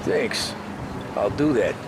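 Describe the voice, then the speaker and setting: An actor playing a reserved, dutiful American gangster of the 1930s in a video game, terse and dryly witty. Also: male voice, animated, slow pace. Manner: terse, low-key, dry. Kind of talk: naturalistic video game acting. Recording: loud car and street noise behind.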